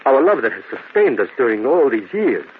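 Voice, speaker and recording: An actor in an old-time radio drama playing an ardent, tormented man wronged in love, with fervent, halting melodrama. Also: medium pitch, big pitch swings, lightly acted. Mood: anguished. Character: ardent, wronged lover returned after years, earnest and tormented. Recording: tinny, noisy old radio drama recording.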